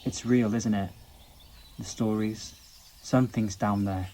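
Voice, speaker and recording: A man's voice: fairly low pitch, fairly even intonation, a little slow, warm tone, a little hiss or hum, natural voice. A man playing the uneasy but loyal young companion of a time traveller in a fan audio drama. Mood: uneasy, curious.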